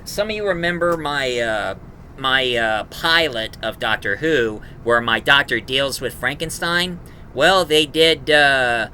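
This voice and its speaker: Man, very bright sharp voice, casual rambling reviewer, halting, enthusiastic, faint background noise. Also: mid-range voice. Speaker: reviewer, host.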